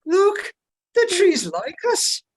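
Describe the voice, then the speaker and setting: A woman playing an earnest, anxious but brave hobbit servant in a script table read, pleading and excitable. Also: very high-pitched, takes it slowly, exaggerated voice. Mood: soothing.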